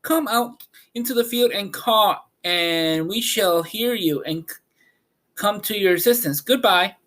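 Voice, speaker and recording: A man's voice: high-pitched, unhurried, fairly bright tone, pitched above the performer's own voice. A man reading a storybook, voicing a small, gracious mouse queen with a polite, regal manner. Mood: gracious farewell.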